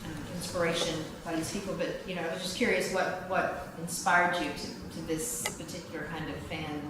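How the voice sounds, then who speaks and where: Lowish-voiced woman, heavy room echo. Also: level tone, a little fast. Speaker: audience member asking a question. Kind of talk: casual question. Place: indoor room at a table read event. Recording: very echoey, noisy room.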